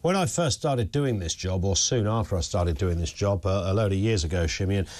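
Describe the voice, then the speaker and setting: A man's voice: low voice, fairly quick, a little mellow. A man, hosting a talk show interview in a television studio with a lapel mic, chatty and opinionated with casual asides. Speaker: news talk show host. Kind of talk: conversational broadcast interview.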